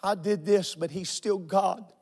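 A male pastor preaching and praying with fervour, repeating phrases, out of breath and swinging from shouts to low murmurs. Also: steady tone, unhurried. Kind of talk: Pentecostal preaching and prayer. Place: church sanctuary with congregation.

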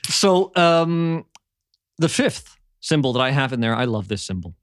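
A man hosts a loose, chatty podcast. He rambles and often stumbles over repeated words, with a mid-range pitch. The sound is dry and close to the mic. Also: big pitch swings, a little slow, no room sound. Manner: rambling, repeats words while searching for them. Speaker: podcast host. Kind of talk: casual chat. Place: home in eastern Germany.

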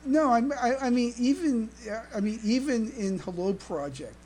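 A woman co-hosting a casual podcast, halting and often stammering over repeated words. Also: a little high, expressive, crowd noise. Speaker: podcast co-host.

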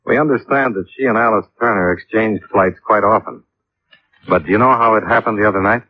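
On a muffled, hissy vintage radio recording, a man plays a hard-nosed investigator with a low voice. He talks tersely and businesslike, with a doubting edge, in classic radio detective style. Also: brisk, close to an everyday voice. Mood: businesslike, probing. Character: tough, skeptical male investigator questioning witnesses and narrating. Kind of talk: old-time radio detective drama acting.

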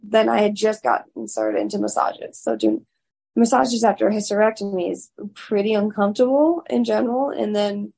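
Gravelly, husky-voiced woman, frank and loud. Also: mid-range voice, steady tone, moderate pace, low-quality recording. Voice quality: raspy. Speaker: interview guest. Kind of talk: candid personal interview.

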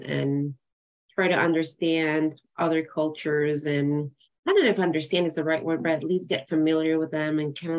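Female podcast host from Ecuador, low-pitched, affirming and conversational. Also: darkish tone. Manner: encouraging, affirming. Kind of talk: conversational interviewing.

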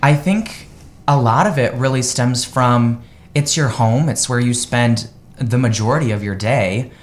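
A man's voice: mid-range voice, a little slow. Upbeat, enthusiastic man guesting on live radio. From United States. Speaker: guest, real estate agent.

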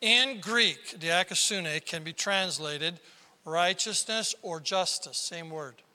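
A man from South Dakota preaching a sermon as pastor, with a squeaky tenor voice and emphatic delivery that climbs into sudden loud bursts. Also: takes it slowly. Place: large church hall. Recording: reverberant hall.

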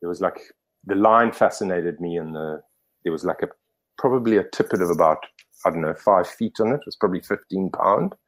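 A man's voice: low-pitched, fairly quick. A middle-aged man from Zimbabwe telling stories as a podcast guest, rambling with many fillers and restarts. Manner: rambling, self-correcting, lots of fillers. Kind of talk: casual storytelling interview.

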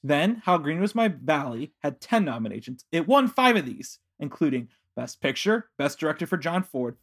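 A man's voice: fairly high pitch, very animated, fairly bright tone, husky. A man from Chicago with a Chicago accent, hosting a casual podcast chat in a playful, joking way. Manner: playful, jokey, quick asides. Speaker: podcast host.

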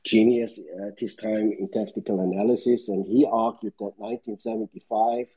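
Older Swiss-born caller, deep dark husky voice, rambling recollection packed with dates. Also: male voice, steady tone, normal speed, rough recording. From Switzerland. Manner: rambling, recites dates and figures. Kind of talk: casual market recollection.

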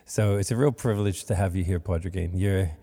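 Deep gravelly man, halting host. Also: dark tone, in a large hall. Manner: hesitant, halting with many fillers. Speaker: podcast host. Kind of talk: interview. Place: room in a monastery.

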